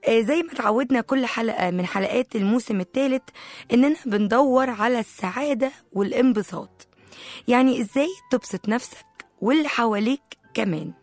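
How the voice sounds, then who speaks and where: A woman with an Egyptian accent and a slightly hoarse voice, chatting playfully and directly to listeners as a live radio host. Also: a little high, quick pace, darkish tone, dry recording, faint music behind. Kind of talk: casual live radio talk. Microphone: close studio microphone.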